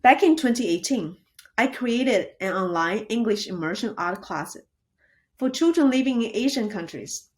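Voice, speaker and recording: A woman who founded a language school, giving an earnest, clear, rehearsed pitch. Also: animated, normal speed.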